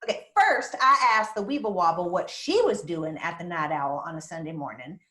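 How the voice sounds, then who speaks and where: A woman's voice: fairly low pitch, lively intonation, moderate pace, fairly bright tone, roomy sound. A woman acting a crazy, possessive, folksy small-town mother who is fond but prickly, in a screenplay table read. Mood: wistful recollection. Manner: folksy, dramatic storytelling with teasing jabs. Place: remote virtual table read, roomy-sounding space.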